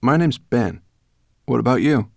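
A man's voice: mid-range voice, expressive, measured pace, vocal fry, no room sound, clean studio sound, voice pushed up. A man reading a storybook aloud, voicing a curious, defiant human boy in a raised voice.

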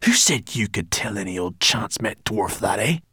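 A man voicing a grumpy, sharp-tongued brownie girl with a snappish, sarcastic manner in a storybook reading. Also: lowish voice, lively intonation, moderate pace, breathy edge, no room sound, studio quality, exaggerated voice. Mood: cross.

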